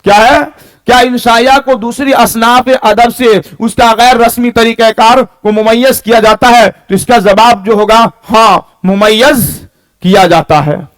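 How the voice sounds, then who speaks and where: A man's voice: extremely high pitch, fairly even intonation, a little slow. A man teaching an exam-prep lesson, reading out questions and answers, repeating them and checking that students follow.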